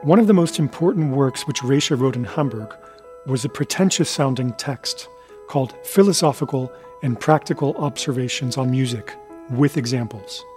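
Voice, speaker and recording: A male narrator reads a scripted documentary piece in a medium-pitched voice. Soft music sits faintly behind his words. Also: normal speed.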